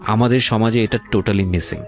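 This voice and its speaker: বাংলাদেশি টানে কথা বলা পুরুষ উপস্থাপক. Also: male voice, lowish voice, steady tone, normal speed, soft background music. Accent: Bangladeshi. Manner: emphatic repetition, mixes English phrases into talk. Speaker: interview show host. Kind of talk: reflective conversational interviewing.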